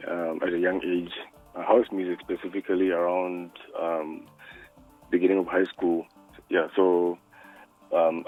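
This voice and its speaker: A man with a very deep voice calls in to a radio show, his speech thin and tinny through the phone line. He hesitates often with "um"s and laughs easily as he talks. The sound is dry and close, and soft music plays faintly behind. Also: dry recording. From South Africa. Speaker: music producer guest. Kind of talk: phone-in interview.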